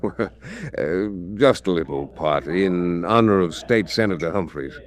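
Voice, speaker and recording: An officious company accountant speaks in a deep voice. He is halting, guarded and polite, in the style of 1940s radio drama. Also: expressive. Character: hesitant, officious male chief accountant.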